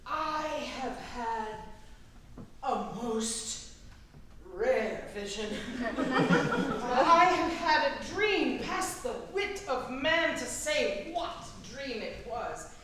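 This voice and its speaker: A woman playing a boastful, dazed male weaver in a staged Shakespeare reading, theatrical and giggly, with sighs and pauses. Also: high-pitched, lively intonation, exaggerated voice. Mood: dazed wonder. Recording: echoey hall, distant mic, faint noise.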